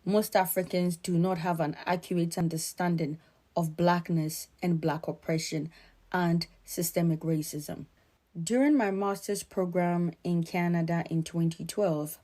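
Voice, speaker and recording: Low-voiced earnest woman from Ghana. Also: fairly even intonation, dark tone. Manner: earnest, measured, emphatic. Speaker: commentator. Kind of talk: spoken commentary to camera.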